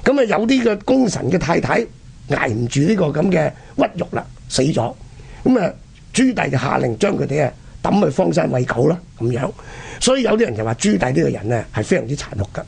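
A man with a husky, gravelly voice and a Hong Kong Cantonese accent hosts, telling history in colloquial, emphatic style with rhetorical questions. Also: a little high, very animated, fairly quick, darkish tone. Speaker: radio programme host. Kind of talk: casual spoken history storytelling.

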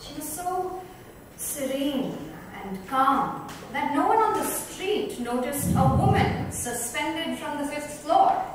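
A woman's voice: high voice, animated, slow. A woman giving a dramatic scripted reading of a book, seated in a lounge. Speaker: reader. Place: club lounge, seated in a row. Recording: distant echoey room, faint noise.

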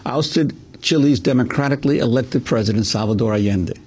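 Husky man narrating history. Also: mid-range voice, brisk, a little mellow. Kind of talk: scripted documentary narration.

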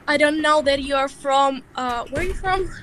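Young woman, Ukrainian accent, high pitch, friendly upbeat English, faint music. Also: moderate pace, a bit bright. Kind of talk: casual video chat.

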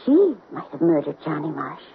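A woman's voice: low-pitched, sing-song, normal speed, husky, full character voice. An actress playing a shrewd, suspicious middle-aged mother in a 1970s radio drama. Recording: phone-like 1970s radio broadcast.

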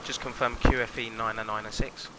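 A man's voice: on the low side, level tone, brisk. A man speaking as a flight instructor and pilot in a flight-simulator lesson, making radio calls. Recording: engine noise behind the voice. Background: aircraft engine drone from simulator.

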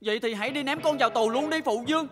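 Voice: sits high, very fast, soft background music, natural voice. An actor playing an earnest, kindly young boy prince in a Vietnamese cartoon dub, pleading and sincere. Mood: resolute.

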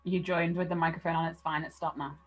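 A woman hosting an online session, introducing the speaker in a relaxed, informal way with many filler words. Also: low-pitched, fast talker, highs cut off. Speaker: session host. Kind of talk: hosting a webinar.

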